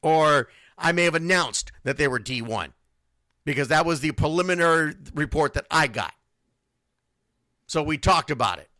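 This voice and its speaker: A man's voice: fairly high pitch, animated, normal speed, pushed and bright, dry recording. A man hosting an unscripted sports talk show, answering listeners in a blunt, emphatic way and repeating phrases for stress. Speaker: sports radio show host.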